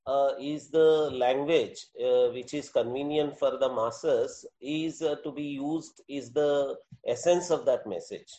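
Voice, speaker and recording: Mellow, halting Indian man interviewing. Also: medium pitch, steady tone. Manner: halting, deliberate, many long hesitations. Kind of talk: formal, scholarly conversation.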